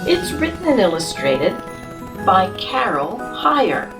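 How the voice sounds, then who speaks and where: Woman reading a kids' book, slow and bouncy, asks the kids stuff, mic kinda far away. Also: mid-range voice, sing-song, over music. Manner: gentle, explains pictures and asks children questions. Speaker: storyteller reading to children. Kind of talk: picture-book read-aloud.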